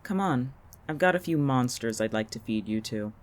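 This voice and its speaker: Man playing a smug, sardonic boss, mid-pitched. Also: lively intonation, normal speed, a little hiss or hum, lightly acted. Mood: smug, teasing. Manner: sardonic, nonchalant. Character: smug, callous, teasing male boss who keeps monsters. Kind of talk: audio drama acting.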